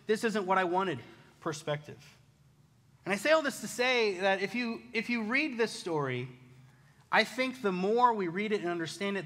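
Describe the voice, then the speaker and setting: An American man preaching a conversational sermon, casual, animated and self-deprecating, telling personal stories as a pastor. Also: fairly high pitch. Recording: reverberant hall.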